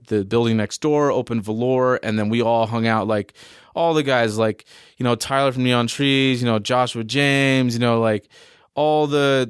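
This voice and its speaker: A man's voice: medium pitch, clean tone, dry recording. Young male musician guest, loose rambly chat, dry close mic. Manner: rambling, fillers like "you know" and "man". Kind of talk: casual interview chat.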